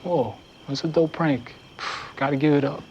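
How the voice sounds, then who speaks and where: A man's voice: a little high, unhurried, a little mellow, dull audio, slight background noise. A young man from Florida in a sitcom scene, talking in a dim, easygoing slacker way. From Jacksonville, Florida. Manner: dim, laid-back slacker talk. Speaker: sitcom character. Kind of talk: sitcom acting.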